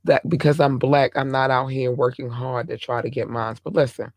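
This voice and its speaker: Hoarse male podcast host, gravelly and dry, passionate and rambling. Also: medium pitch, little pitch movement, moderate pace, no echo. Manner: impassioned, rambling with restarts. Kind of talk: casual talk-show commentary.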